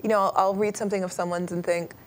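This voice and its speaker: Fast-talking chatty woman moderator. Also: medium pitch, slight background noise. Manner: chatty, animated, laughs often. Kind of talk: moderating a panel talk.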